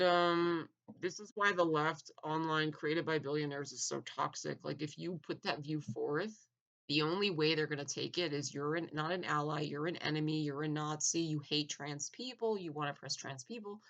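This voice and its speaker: A man giving a blunt, argumentative opinion monologue, full of "like" fillers and restarts, clearing his throat now and then. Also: on the high side, normal speed, fairly bright tone, dull audio. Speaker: opinion vlogger.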